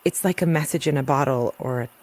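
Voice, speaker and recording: A woman's voice: extremely low pitch, fast, darkish tone. A woman playing a protective, wry mother and rural doctor in a naturalistic audio drama, dry and plain-spoken. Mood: tentative, wistful.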